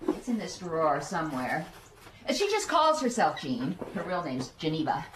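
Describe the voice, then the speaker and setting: Casting: fretful American landlady for radio drama, lowish voice, rambling, faint ambience behind. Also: wildly swooping pitch, normal speed, noisy background. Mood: chatty, anxious. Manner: rambling, anxious. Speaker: actor.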